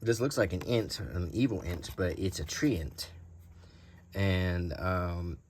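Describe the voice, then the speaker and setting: A man hosting an unscripted show-and-tell, talking casually and thinking aloud in a rambling, unhurried way. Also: deep voice, darkish tone, slight background noise. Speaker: host showing vintage game cards.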